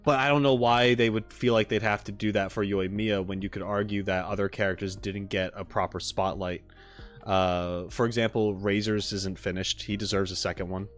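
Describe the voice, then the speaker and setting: A man's voice: fairly low pitch, fairly even intonation, moderate pace. Casual male streamer chatting over music. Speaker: streamer and reaction host. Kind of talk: casual livestream chat, musing about a game. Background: background music.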